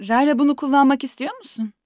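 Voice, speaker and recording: Gentle, patient cartoon mum played by a woman: high voice, affectionate, coaxing. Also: fairly even intonation, fast talker, dry recording, studio quality, natural voice. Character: gentle, patient young mother. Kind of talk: dubbed children's cartoon acting.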